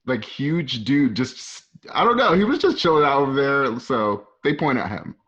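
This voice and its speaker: A man's voice: mid-range voice, very animated, normal speed, a bit bright, breathy edge, dull audio. A man narrating scene directions informally, with casual conversational asides. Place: at home.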